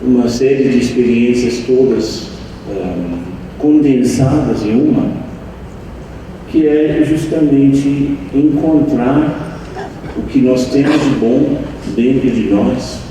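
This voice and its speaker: Older man, slow and halting, echoey room. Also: mid-range voice, mellow. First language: not Portuguese. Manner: slow, halting, reflective, with many restarts. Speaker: meditation teacher and center leader. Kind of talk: anniversary speech to an audience. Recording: echoey room, distant mic, faint noise.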